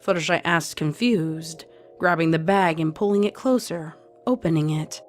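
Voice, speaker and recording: Low-voiced woman reading a story aloud. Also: moderate pace. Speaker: fan fiction reader. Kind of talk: scripted story narration. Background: music.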